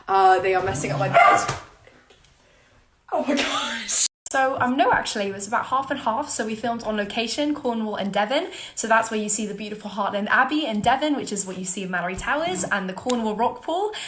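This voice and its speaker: A woman's voice: on the high side, lively intonation, a little fast, noisy background. A teenage girl from New York City with a mostly British accent, answering fan questions in a bubbly, giggly, chatty vlog from her bedroom. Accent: British with occasional American traces. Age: teens. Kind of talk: casual Q&A vlog. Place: bedroom at home. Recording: echoey room.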